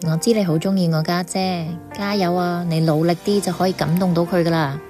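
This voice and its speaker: A woman with a Hong Kong Cantonese accent acting a gentle, shy younger sister confiding in a radio drama. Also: fairly low pitch, steady tone, brisk, music in the background, lightly acted. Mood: encouraging. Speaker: voice actor.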